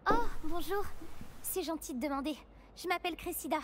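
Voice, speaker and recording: A bookish young student witch in a video game, earnest and a little worried, polite as she explains herself. The voice sits high and clear. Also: a little fast, a crowd in the background. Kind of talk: video game character dialogue.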